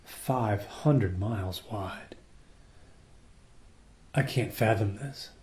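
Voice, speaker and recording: Dark-toned, uneasy junior space crewman played by a man. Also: fairly low pitch. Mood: subdued. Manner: halting, pensive, deferential. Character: unmoored, deferential male junior crewman on a space station. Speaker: actor in a radio play. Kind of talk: naturalistic radio play acting.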